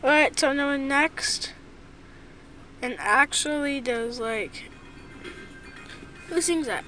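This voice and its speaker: Slow-talking, high-pitched playful voice in car. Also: sharp tone, faint music behind. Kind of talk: casual chat to camera. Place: inside a car, back seat. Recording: noisy phone recording inside a car.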